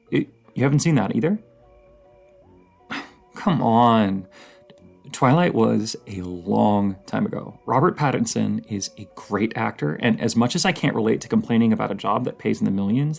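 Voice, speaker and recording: Man acting as a dorky, gravelly-voiced nerdy partner. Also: mid-range voice, darkish tone. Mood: excited, teasing. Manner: halting, stammering, nerdy enthusiasm. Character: dorky, excitable partner, a rambling horror-movie nerd. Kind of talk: intimate roleplay audio, casual chatty rambling.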